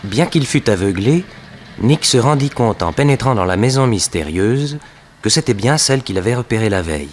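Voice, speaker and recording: A man's voice: mid-range voice, lively intonation, moderate pace, a little hiss or hum, natural voice. A man narrating a scripted 1960s French detective radio serial. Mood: suspenseful. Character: male storyteller of a detective serial.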